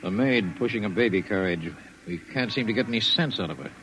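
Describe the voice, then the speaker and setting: An actor playing a curt, weary police lieutenant in a 1950s radio drama. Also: male voice, on the low side, animated, brisk, a bit bright, poor audio. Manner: curt, matter-of-fact. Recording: old radio broadcast with faint noise.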